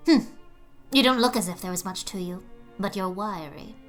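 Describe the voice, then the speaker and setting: A woman playing a sharp, stingy, bossy and overworked mother, curt and demanding, in a dramatized storybook. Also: medium pitch, normal speed, faint music behind, natural voice. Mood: appraising, stern. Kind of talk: dramatized audiobook acting.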